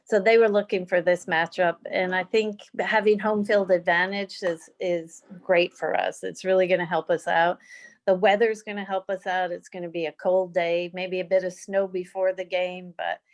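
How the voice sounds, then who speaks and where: A woman's voice: fairly low pitch, flat delivery, moderate pace. A woman from Michigan's Upper Peninsula, a podcast guest talking football in an earnest, plain way. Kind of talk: casual sports talk.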